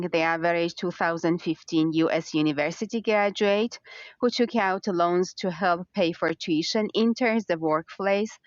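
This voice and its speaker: A woman's voice: fairly low pitch, dry recording, muffled recording. A woman reading a scripted practice passage aloud. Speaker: exam passage reader. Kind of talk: scripted read-aloud narration.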